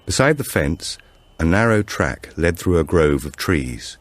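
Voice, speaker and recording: A man reading a supernatural thriller audiobook in a measured, suspenseful storytelling manner. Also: sits low, very animated. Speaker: audiobook narrator. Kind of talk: scripted narration of a supernatural thriller.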